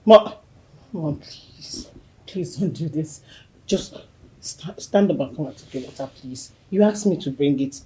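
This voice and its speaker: A woman's voice: deep voice, lively intonation, a little slow, dark tone, roomy sound, rough recording. A woman acting a grief-stricken young princess in a melodramatic film, with a Nigerian accent and a pleading, wailing delivery. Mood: pleading, distressed.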